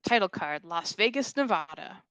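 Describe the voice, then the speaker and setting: A woman's voice: medium pitch, lively intonation, normal speed, a bit bright, dry recording, poor audio. A woman narrating scripted stage directions at a comedy table read.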